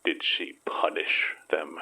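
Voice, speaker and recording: A man playing a guilt-ridden grieving father in an audio horror drama, halting and sombre. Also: bright, forceful tone, in a whisper, low-quality recording. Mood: broken, quiet.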